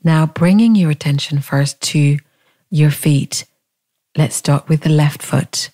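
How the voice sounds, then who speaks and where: A woman leading a guided body scan meditation in a calm, gentle, unhurried manner, leaving long pauses. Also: low-pitched.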